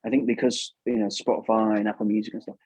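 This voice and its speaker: A man with an English accent chatting casually and thoughtfully as a guest, in a halting, hedging way. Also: on the low side, little pitch movement, a little mellow, husky, no room sound, rough recording. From England. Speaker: puppeteer guest. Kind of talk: casual chat.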